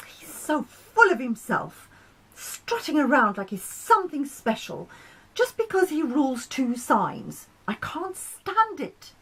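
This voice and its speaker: A woman's voice: sits high, wildly swooping pitch, takes it slowly, a bit bright, pitched above the performer's own voice, heavily acted, rough recording. A woman voicing a petulant, whiny female moon in a storybook, indignant and full of complaint.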